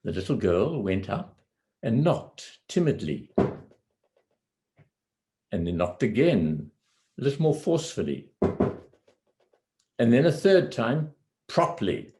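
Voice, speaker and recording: Male storyteller, lowish pitch, very slow deliberate phrasing for a fairy tale. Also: sing-song, warm tone, slight background noise. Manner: deliberate, pausing between phrases. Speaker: amateur storyteller.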